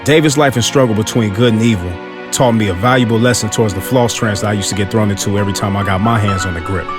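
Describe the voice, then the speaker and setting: A man narrating a scripted motivational story in an earnest way, with hip-hop street slang. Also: fairly low pitch.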